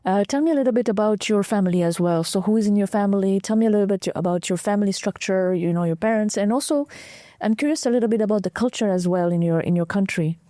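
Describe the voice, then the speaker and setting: A woman's voice: medium pitch, quick pace, warm tone, no echo, studio quality. A woman hosting an interview, asking curious questions that she often restarts mid-sentence. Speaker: interviewer host. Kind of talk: conversational interview.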